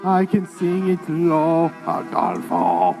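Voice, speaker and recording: A woman's voice: sits low, big pitch swings, slow, gravelly, music in the background, exaggerated voice, low-quality recording. A young actress playing a pompous, vain male Latin lover in a stage musical, singing and boasting theatrically. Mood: boastful. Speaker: child actor in a musical.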